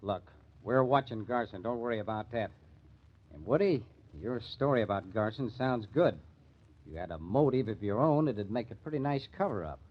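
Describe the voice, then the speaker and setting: A man's voice: fairly low pitch, animated, normal speed, lo-fi sound. A man playing a tough, suspicious police detective in an old-time radio crime drama, needling and sardonic, with an American accent. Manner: needling, hard-boiled interrogation.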